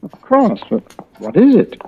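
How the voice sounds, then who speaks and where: An actor playing a mild, polite, hesitant real estate agent, husky-voiced, in old-time radio suspense style. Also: male voice, high voice, wildly swooping pitch, a little slow, slight background noise, exaggerated voice. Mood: puzzled, uneasy. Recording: dull vintage radio drama recording.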